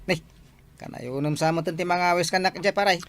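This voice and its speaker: A man's voice: high voice, steady tone, fairly quick, fairly bright tone. A man playing a chummy, teasing gambling buddy in an Ilocano audio drama, with an Ilocano accent. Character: easygoing gambling buddy egging his friend on. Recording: clear background noise.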